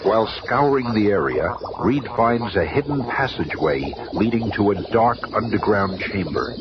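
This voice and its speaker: A man's voice: lowish voice, lively intonation, normal speed. A man narrating a 1970s radio drama in a dramatic, announcer-like manner, on a dull hissy recording.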